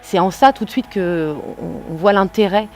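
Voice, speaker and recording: Female, medium-pitched, reflective documentary interview delivery with hesitations, light music bed. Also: normal speed, darkish tone.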